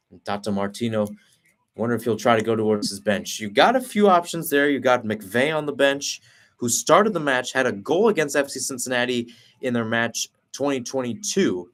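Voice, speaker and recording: A young man delivering live soccer play-by-play at a brisk, steady clip. He tracks each pass in a running call and corrects himself on the fly. His voice sits at an ordinary middle pitch for a man. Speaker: play-by-play commentator.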